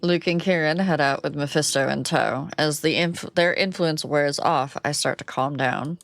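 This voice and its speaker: A woman's voice: low-pitched, moderate pace, vocal fry, dry recording, soft background music. A woman streamer reading a game aloud and reacting with playful, giggly commentary.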